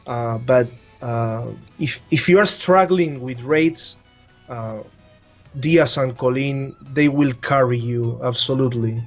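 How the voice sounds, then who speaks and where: A man with an Argentine Spanish accent speaks English slowly and haltingly, often repeating words as he works out what to say. His voice sits a little high and comes through a dull, lo-fi line with faint music behind it. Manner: hesitant, repeats words while searching in English. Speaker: guest content creator. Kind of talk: casual chat giving game advice.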